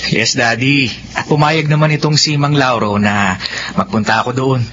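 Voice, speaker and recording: A man acting a respectful, soft-hearted young son in a Filipino radio drama. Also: medium pitch, normal speed, lightly acted. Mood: polite. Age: young adult. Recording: dull lo-fi audio with faint noise.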